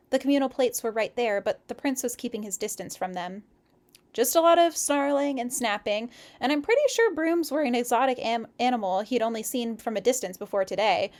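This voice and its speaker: Higher-pitched woman reading stories casually. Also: darkish tone. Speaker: co-host of a fan fiction read-aloud stream. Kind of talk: expressive story reading mixed with casual chat.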